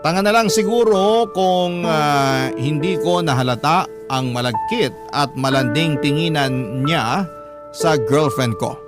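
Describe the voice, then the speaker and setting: An actor playing an insecure, suspicious young Filipino lover, narrating a radio drama story in a confiding, brooding, emphatic way. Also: male voice, fairly high pitch, a little slow, lightly acted. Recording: loud music bed under narration. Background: loud instrumental music.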